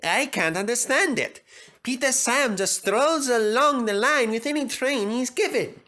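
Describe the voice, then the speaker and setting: A proud and huffy steam engine played by a man, pitched above his natural voice. He sounds pompous and full of himself, in the style of a children's railway story. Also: animated, unhurried, bright, forceful tone, exaggerated voice. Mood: baffled, grudging. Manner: pompous, snorting. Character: proud, huffy, boastful male steam engine, Sir Handel.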